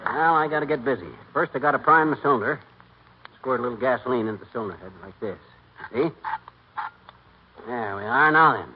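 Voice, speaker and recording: An actor playing a folksy, cocky, bumbling husband who tinkers, brash and slangy, in 1940s radio comedy. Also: male voice, mid-range voice, a little fast, long pauses, muffled recording, lightly acted. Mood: eager, boastful. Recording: very noisy, band-limited 1940s radio recording.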